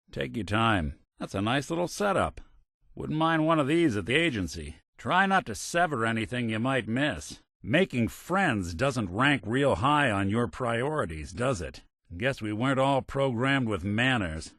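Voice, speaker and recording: A man's voice: lowish voice, fairly bright tone, no echo. A man voicing a wry, world-weary old synthetic detective in a video game, with a hard-boiled American drawl and dry sarcasm. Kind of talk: video game character acting, noir detective.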